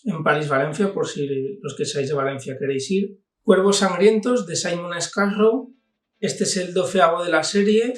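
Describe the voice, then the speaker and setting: A man's voice: sits high, moderate pace. A man with an accent from Spain, giving an informal book review as a channel host. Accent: Spanish from Spain. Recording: echoey room, distant mic, music behind.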